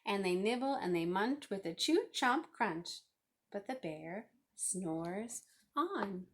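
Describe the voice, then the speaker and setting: Female storybook reader: lively intonation, slow pace, theatrical stress on key words. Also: mid-range voice, a little hiss or hum. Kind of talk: expressive children's read-aloud narration.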